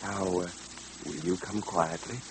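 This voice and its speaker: An actor playing a mysterious caped man in an old radio drama, theatrical, formally courteous and quietly menacing. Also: deep voice, steady tone, unhurried. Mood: silky threat. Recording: noisy old radio recording, hum.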